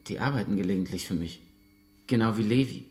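An actor playing a defiant, evasive uncle under interrogation in a naturalistic radio drama, answering curtly. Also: male voice, on the low side, fast, a little mellow, faint music behind, close to an everyday voice.

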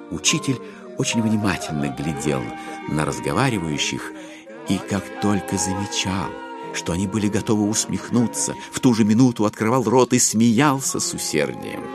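A wry storyteller in an audio drama. His voice is low and deep, with lively, varied intonation and deliberate phrasing, and music plays clearly behind him. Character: wry, unhurried male storyteller narrator. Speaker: narrator. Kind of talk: dramatized literary narration.

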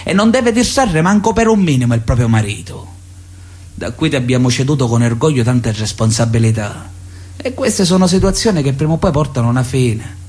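An actor playing a pious, paternalistic Sicilian mafia elder, solemnly sermonizing with veiled menace in a radio drama letter. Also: male voice, mid-range voice, animated, darkish tone, lightly acted. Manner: solemn, sermonizing, measured insistence. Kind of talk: theatrical radio drama monologue, letter read aloud.

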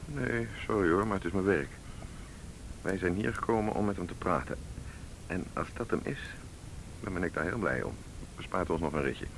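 Sardonic, brusque commissioner, low-pitched, in a faintly noisy radio drama recording. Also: male voice, moderate pace, creaky, long pauses. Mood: dry, watchful. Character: brusque, impatient male police commissioner. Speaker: police commissioner. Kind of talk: Dutch radio drama acting.

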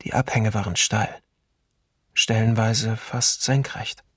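An actor voicing a sombre man recalling his childhood, reading a literary memoir with measured restraint in a documentary radio play. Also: lowish voice, fairly even intonation, slightly rough, no echo, studio quality. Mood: sombre, reflective.

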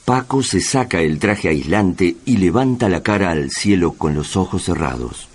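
Deep-voiced man narrating. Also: lively intonation, moderate pace, breathy edge. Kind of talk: scripted radio drama narration.

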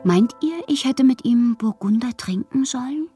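A woman playing a whimsical bohemian poet who confides her letters in an intimate, breathy, theatrical way for a radio drama. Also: on the high side, lively intonation, normal speed, warm tone, faint music behind, lightly acted. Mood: secretive, dreamy. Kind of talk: literary radio drama, letters read as monologue.

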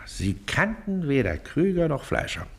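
An actor in a German radio play, playing a haughty, officious Prussian magistrate who pauses and hums condescendingly as he talks. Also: a little high, very animated, vocal fry, full character voice. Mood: probing. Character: pompous, officious Prussian local magistrate, a baron.

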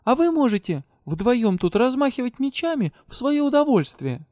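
A man's voice: very high voice, very animated, normal speed, a little mellow, dry recording, studio quality, voice pushed up. A man voicing a young female student, earnest and stubborn, pleading and insistent in an audiobook. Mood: defiant.

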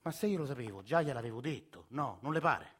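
A man's voice: mid-range voice, animated, brisk, breathy edge. An actor playing an exasperated, insistent working-class Roman family man in a radio play. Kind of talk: radio theatre acting.

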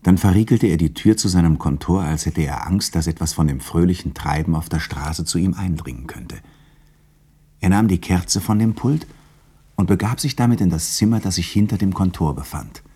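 A man's voice: low-pitched, moderate pace, mellow, breathy edge. A man narrating a 1960s radio drama as a dramatic scripted story. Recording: studio radio narration with faint music behind. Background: faint music.

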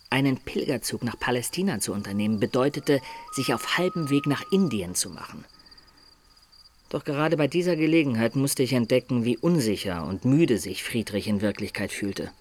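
An actor playing a middle-aged adventurer who recounts his life in measured, literary radio drama narration. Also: mid-range voice, animated, a little hiss or hum, close to an everyday voice. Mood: reflective, calculating.

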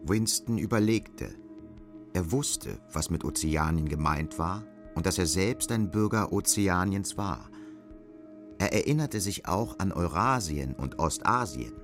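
A man's voice: low-pitched, expressive, unhurried, over music. A man narrating an audio drama in scripted literary prose.

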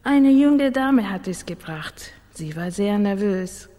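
A woman's voice: mid-range voice, lively intonation, slow, warm tone. An actress playing a friendly, curious, inviting female neighbour in a radio drama. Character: friendly, unhurried female neighbour offering tea. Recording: long echo, like a hallway.

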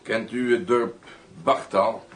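A man's voice: on the low side, fairly even intonation, slow pace, a little hiss or hum. An actor playing a businesslike, matter-of-fact henchman in a radio drama. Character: businesslike henchman, a general's right-hand man.

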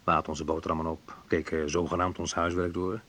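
An actor playing a reflective man in a naturalistic radio drama, talking in a conversational, hesitant, wry way with a husky voice. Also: low-pitched, flat delivery, fast, a bit bright. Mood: wry, nostalgic. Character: Menno, reflective man recalling a school friend. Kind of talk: naturalistic radio drama monologue and phone talk.

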